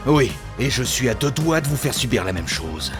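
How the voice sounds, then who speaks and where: An actor playing a touchy, naive banker under suspicion, polite yet easily indignant, in a comedic audio drama. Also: male voice, mid-range voice, very animated, normal speed, music in the background, lightly acted. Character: touchy, naive male banker, a murder suspect.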